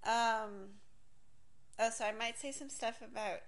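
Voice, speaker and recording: A woman's voice: on the high side, fairly even intonation, measured pace. A woman in her forties from Miami telling a candid personal story, rambling and self-interrupting, swearing casually and laughing often. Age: 40s.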